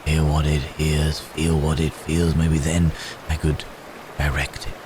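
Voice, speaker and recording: A man playing a gentle, troubled wounded man cursed as a werebeast in an ASMR roleplay, speaking haltingly in formal, old-fashioned phrases with sighs. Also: extremely low pitch, level tone, background noise. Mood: brooding, troubled.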